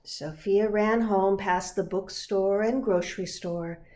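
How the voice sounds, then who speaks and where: A woman narrates a children's storybook. Her voice sits at a middling pitch and she takes her time, very unhurried, shaping each sentence expressively and deliberately. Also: steady tone, darkish tone. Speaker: pastor reading a picture book.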